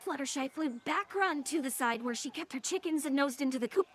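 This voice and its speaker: A woman's voice: high-pitched, fast talker. A synthetic-sounding woman's voice reading scripted story narration.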